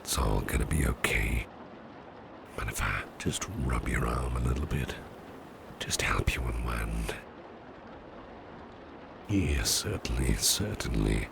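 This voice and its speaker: Casting: male actor for doting boss in ASMR roleplay, soothing and genteel, breathy bottomless-low murmur, unhurried delivery. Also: lively intonation, noisy background, full character voice. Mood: soothing, protective. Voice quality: breathy, half-whispered, very deep gravelly murmur. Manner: slow, soothing, repeats reassurances, genteel phrasing. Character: doting, reassuring businessman boss, soothing and flirtatious. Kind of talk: ASMR personal-attention roleplay.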